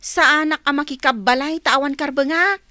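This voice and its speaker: A woman's voice: high-pitched, normal speed, sharp tone, no echo, clean studio sound. A woman acting a feisty, defiant employee in an Ilocano radio drama, speaking with an Ilocano accent. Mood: scornful.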